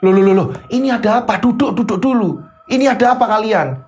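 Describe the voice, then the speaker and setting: A concerned older father-in-law played by a male storyteller in a family drama, raised in pitch over music. He fusses, questions and tries to settle everyone down. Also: expressive, fast. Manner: fussing, questioning, conciliatory.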